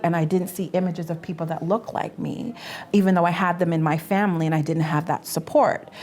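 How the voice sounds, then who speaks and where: A Canadian woman in her forties, co-hosting a casual panel chat, earnest and stumbling over repeated words as she makes her point. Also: lowish voice. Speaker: co-host.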